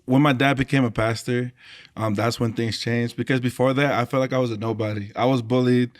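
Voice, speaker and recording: Soft-spoken man, Tongan first language. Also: mid-range voice, steady tone, brisk. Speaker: choir leader, band member. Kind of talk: casual interview chat.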